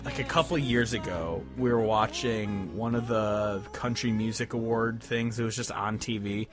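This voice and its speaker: A man's voice: lowish voice, normal speed. A man chatting casually and informally as a podcast host, swearing freely as he talks about music. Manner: profane, informal.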